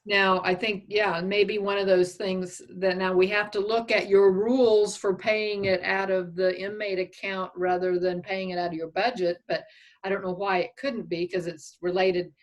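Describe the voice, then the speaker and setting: A woman's voice: mid-range voice, little pitch movement, moderate pace, poor audio. A woman speaking conversationally on a conference call as a county official, thinking aloud as she gives advice. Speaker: county official advising on expense reimbursement.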